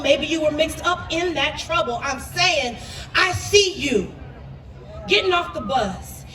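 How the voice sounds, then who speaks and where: A woman performing spoken-word poetry live, speaking emphatically and deliberately, with repeated phrases. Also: high-pitched, a bit bright. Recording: echoey, noisy live venue, distant mic.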